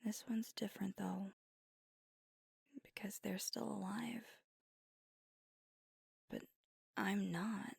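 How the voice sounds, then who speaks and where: A woman voicing a gravelly-whispered young vampire. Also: medium pitch, steady tone, takes it slowly, pauses often, no room sound, clean studio sound, heavily acted. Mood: wistful. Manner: soft whispering, intimate. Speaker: ASMR roleplay performer. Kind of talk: ASMR roleplay. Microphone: close mic.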